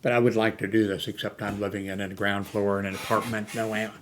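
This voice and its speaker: A man with a low voice and a flat, even delivery talks casually as a hobbyist vlogger. He rambles without a script, halting and searching for his next thought. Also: slight background noise. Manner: rambling, halting, often losing his thread. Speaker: amateur radio hobbyist hosting a video channel. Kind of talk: casual unscripted vlog talk.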